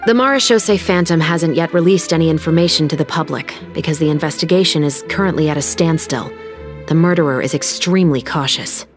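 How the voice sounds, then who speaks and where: A woman playing a composed, principled young musketeer officer in a video game, speaking in a measured, formal, earnest way. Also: low voice, close to an everyday voice. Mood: composed, assured. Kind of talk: dramatic game voice acting. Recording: music behind the voice.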